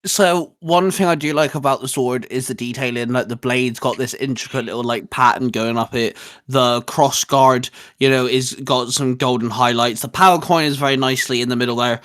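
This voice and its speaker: A man's voice: mid-range voice, steady tone, moderate pace, fairly bright tone. A man hosting a casual fan review video, chatting in an informal, conversational way. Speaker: host of a fan video channel.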